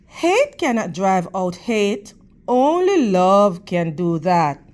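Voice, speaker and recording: A woman reading a picture book aloud to children in a teacherly manner, with deliberate, emphatic phrasing and questions for her young listeners. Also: mid-range voice, big pitch swings, darkish tone. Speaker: read-aloud storytime host for children.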